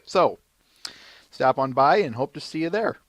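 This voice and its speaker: A man's voice: a little high, animated, measured pace. A man hosting a casual podcast, announcing the week's schedule in an easygoing way with frequent fillers. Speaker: podcast host.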